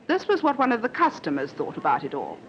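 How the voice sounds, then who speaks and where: Lively British woman newsreel narrator, dull recording. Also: lowish voice, fast. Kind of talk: 1950s scripted newsreel narration. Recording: dull 1950s newsreel with faint noise.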